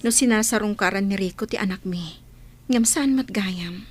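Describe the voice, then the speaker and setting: A woman playing a wistful, respectful young single mother in a radio drama, with soft, heartfelt delivery. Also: medium pitch, moderate pace, soft background music, natural voice.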